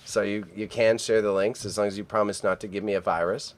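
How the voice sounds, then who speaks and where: Low-voiced Canadian man streaming from home. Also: little pitch movement, fairly quick. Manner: muttering to himself while editing, then chatty with viewers. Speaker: livestreamer editing photos. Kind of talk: casual live-stream chat.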